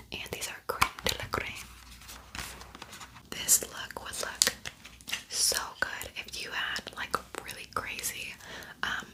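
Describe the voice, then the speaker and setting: Whispering woman in ASMR style. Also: rough recording. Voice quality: breathy, unvoiced whisper. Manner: repeats words softly. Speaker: ASMR host doing a makeup tutorial.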